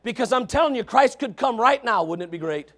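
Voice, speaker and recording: A man preaching a revival sermon, loud and shouting, with joking asides to the congregation. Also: very high-pitched, animated, fairly quick. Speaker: pastor.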